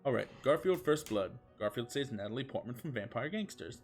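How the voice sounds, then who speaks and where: A man's voice: medium pitch, expressive, a little fast, soft background music. A man streaming a comedic fan fiction reading with casual chat, amused and given to swearing. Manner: amused, profane.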